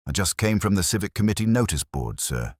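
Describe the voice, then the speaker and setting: A man's voice: sits low, very animated, a little fast, dry recording, clean studio sound, voice pushed up. A man voicing a hesitant, deferential police sergeant giving polite, plain reports in a mystery story.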